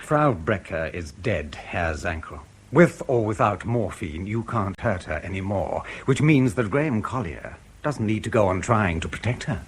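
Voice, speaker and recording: A senior intelligence officer in a radio play, formal and politely menacing. His husky voice moves through lively rises and falls while staying courteous. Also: on the low side, normal speed, hardly any pauses. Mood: quiet menace. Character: coolly polite, quietly threatening senior British intelligence officer. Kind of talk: radio drama acting.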